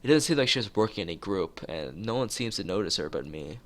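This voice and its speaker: A man's voice: low-pitched, expressive, quick pace, a bit bright. A man streaming, reading a visual novel aloud and often stumbling over phrases and repeating them. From United States. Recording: music playing behind the voice.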